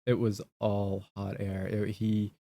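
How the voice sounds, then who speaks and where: A man's voice: lowish voice, monotone, takes it slowly, mellow. A man hosting a casual political chat show, talking in a blunt, dismissive way. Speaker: podcast host.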